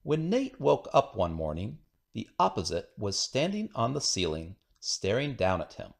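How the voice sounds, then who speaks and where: A man reading a children's picture book aloud in an expressive storytelling manner. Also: on the low side, normal speed, vocal fry. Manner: expressive storytelling with acted dialogue. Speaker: storybook reader.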